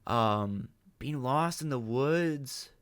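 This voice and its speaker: A man giving off-the-cuff reaction commentary as a host, halting and speculative as he thinks aloud. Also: mid-range voice, expressive, fairly bright tone. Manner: halting, speculative, mild swearing.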